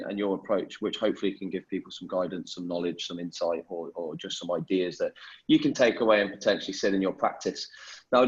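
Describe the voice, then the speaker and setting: Man hosting a webinar, halting delivery. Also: on the low side, lo-fi sound. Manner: halting, many fillers and restarts. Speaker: webinar host. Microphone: video call.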